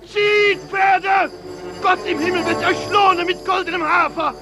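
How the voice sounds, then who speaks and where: An actor playing a rough, excited sleigh driver urging on his horses in a 1950s radio drama, exclaiming loudly. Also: very high-pitched, measured pace. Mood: urgent, excited. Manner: shouting, exclamatory. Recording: 1950s radio recording with loud music.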